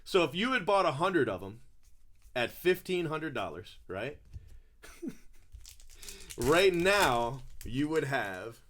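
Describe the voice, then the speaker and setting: A man's voice: a little high, very animated, slow, pauses often, a bit bright. A man hosting a sports card break, chatting casually, laughing often and calling out player names as he opens packs.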